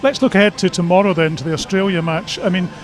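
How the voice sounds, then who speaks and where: Guy with a high voice doing a chatty sports radio interview, music playing behind. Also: fast talker.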